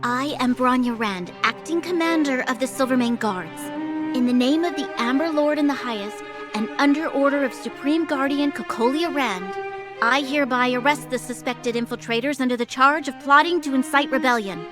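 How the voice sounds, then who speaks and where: A woman plays a young female commander in a game. Her voice is high, formal and authoritative. She proclaims solemnly and with dignity over prominent orchestral music. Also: moderate pace, lightly acted. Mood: stern, commanding.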